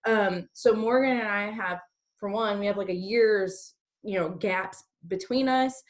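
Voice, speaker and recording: A woman's voice: medium pitch, measured pace. A woman in her early thirties giving an informal motivational talk to an online group as a co-hosting team leader, chatty and peppering her sentences with "like". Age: early 30s. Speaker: direct-sales team leader co-hosting a training.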